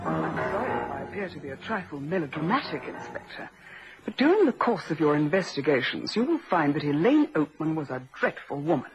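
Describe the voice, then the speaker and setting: Prim secretary, dull old recording. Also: female voice, deep voice, big pitch swings, a little fast, music in the background. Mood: formal, scornful. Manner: formal, precise, melodramatic. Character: prim, loyal, defensive female secretary with bitter conviction. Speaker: radio drama actress. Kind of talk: vintage radio crime drama acting. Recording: dull, noisy vintage radio recording.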